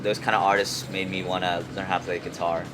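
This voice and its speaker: Young man from Hong Kong, deep voice, casual stop-start slangy talk, recorded on a noisy urban rooftop. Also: little pitch movement. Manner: halting, repeats words, slangy with frequent "like" and "you know". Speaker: music artist, interview guest. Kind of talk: casual chat. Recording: clear outdoor city traffic noise.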